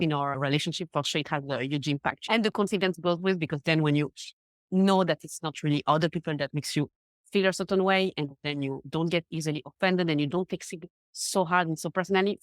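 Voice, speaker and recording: A young woman from Switzerland, not a native English speaker, chatting as a confidence coach guest in a casual podcast interview, animated with many fillers. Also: low voice, no room sound.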